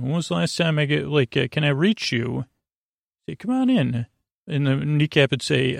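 A man hosting a bedtime podcast in a meandering, halting monologue that keeps interrupting itself, with a gently absurd, whimsical turn. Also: medium pitch, vocal fry, no echo, studio quality. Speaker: podcast host.

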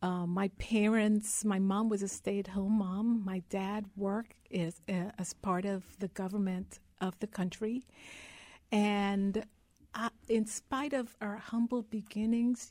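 A woman's voice: mid-range voice, warm tone, no echo. A woman from Guyana, a guest in an interview, telling her life story in an earnest, heartfelt way.

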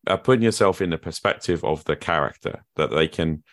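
This is a man with an extremely low, deep voice, hosting a podcast conversation. He talks reflectively and thinks aloud at length as he works through his ideas. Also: fairly quick. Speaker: podcast host. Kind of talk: conversational interview.

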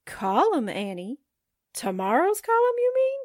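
Woman acting curious lady sidekick, 40s radio play, high swoopy voice, slow n musing. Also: wildly swooping pitch, dry recording, clean studio sound, full character voice. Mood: puzzled. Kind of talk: 1940s radio drama acting.

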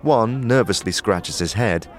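A man's voice: fairly low pitch, measured pace, a little hiss or hum. A man reading scripted second-person narration for a fantasy audio drama. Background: music.

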